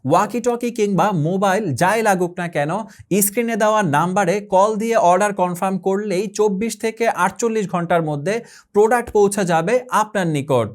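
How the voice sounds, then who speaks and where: A man with a Bangladeshi accent reading a scripted product promo as an advertising announcer, formally and in short measured phrases. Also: sits high.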